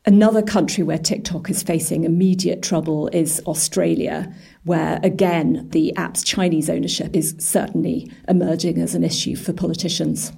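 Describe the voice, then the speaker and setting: A woman's voice: lowish voice, steady tone, normal speed, gravelly, dark tone, husky. A woman working as a journalist and editor, giving explanatory answers in an interview. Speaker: business and technology editor.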